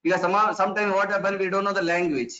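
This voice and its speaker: A man lectures in a fairly high voice, working through each point step by step and often restarting his sentences. He poses rhetorical questions to his listeners. The audio is dull and lo-fi, like a recorded online session. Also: fairly even intonation, fast talker, bright, forceful tone. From India. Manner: explanatory, repeats and restarts phrases, asks rhetorical questions.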